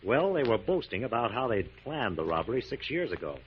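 A man's voice: medium pitch, big pitch swings, brisk, natural voice. An actor playing a composed, self-assured male detective in a 1940s radio drama. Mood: matter-of-fact. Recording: muffled, noisy 1940s radio recording.

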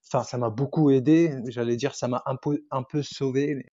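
A man's voice: mid-range voice, steady tone, moderate pace, mellow, highs cut off. A young man from Tunisia whose first language is Arabic, chatting as a podcast guest and hesitating often as he searches for words. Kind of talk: casual interview chat.

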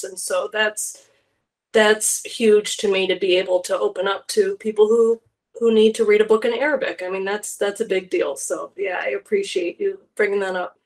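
A woman clinical psychologist answering interview questions earnestly, often repeating words as she starts a sentence. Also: mid-range voice.

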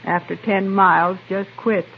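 An actress playing a hard, stoic frontier homestead wife with husky, folksy, clipped speech in an old radio western. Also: female voice, medium pitch, fairly even intonation, unhurried. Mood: bitter, stoic. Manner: blunt, folksy, clipped phrases. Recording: narrow-band vintage radio recording.

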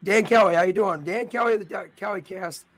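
A man with a raspy voice hosting a live sports show, talking upbeat and straight to his viewers. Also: sits high, fast talker. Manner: upbeat and promotional, addressing viewers directly. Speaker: host.

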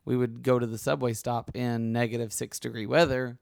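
A young adult man hosting a casual podcast, a little hoarse, rambling with restarts. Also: mid-range voice, level tone, brisk, dry recording, clean studio sound. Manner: chatty, rambling, with frequent restarts. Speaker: podcast host. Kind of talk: casual conversational podcast chat.

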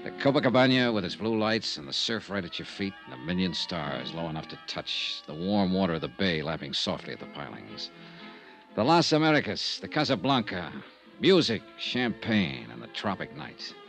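A low-voiced 1950s radio detective. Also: male voice, sing-song, normal speed, gravelly, a bit bright, heavily acted, low-quality recording. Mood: wistful. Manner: clipped, dramatic, wistful storytelling. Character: tough, world-weary male freelance insurance investigator narrating his case. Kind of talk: 1950s radio drama acting and first-person narration. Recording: 1950s radio recording with music behind.

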